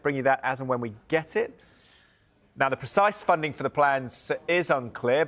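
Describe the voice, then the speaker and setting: A man's voice: medium pitch, fairly bright tone. A man working as a news presenter, speaking in a broadcast news style.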